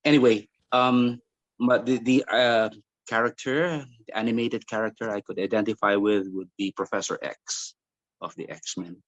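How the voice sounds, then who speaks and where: Male meeting participant, lowish pitch, halting delivery, dry close sound. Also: steady tone, dry recording, muffled recording. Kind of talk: halting informal self-introduction.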